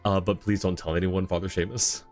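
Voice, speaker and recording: A man with a distinctly low voice streams a game and talks casually to his viewers. He rambles, thinking out loud in an informal way, with soft music in the background. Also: little pitch movement, brisk. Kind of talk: casual live-stream commentary, chatting with viewers. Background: faint game music.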